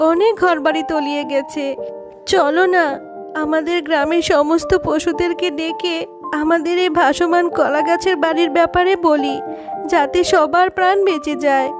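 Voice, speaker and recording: A woman voicing a caring, helpful fox wife and mother in a cartoon, earnest and gently urging. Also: very high voice, fairly even intonation, moderate pace. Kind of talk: cartoon dialogue. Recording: music behind the voice.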